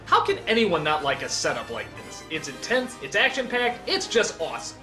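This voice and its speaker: A man's voice: fairly high pitch, normal speed, faint music behind. A man narrating a scripted comedic review in an emphatic, exclamatory way. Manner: animated, emphatic, exclamatory, profane. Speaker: video reviewer and countdown host.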